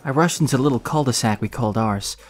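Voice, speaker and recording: A man's voice: medium pitch, fast talker, a little hiss or hum, lightly acted. A man acting a young slum hero who tells his own story in an audio drama, earnest and emotional. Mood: reflective, earnest. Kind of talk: first-person audio drama narration with acted dialogue.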